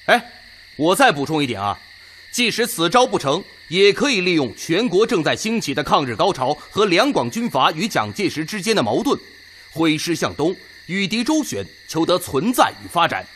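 An actor in a Chinese radio drama playing an assertive, argumentative Red Army officer who speaks emphatically and insistently. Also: male voice, a little high, big pitch swings, normal speed, fairly bright tone, slight background noise. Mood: persuasive, resolute.

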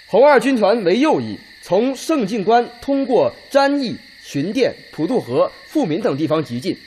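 An actor playing a confident army officer in a radio drama, giving a formal military briefing. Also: male voice, high-pitched, very animated, moderate pace, a little mellow, slightly rough, full character voice.

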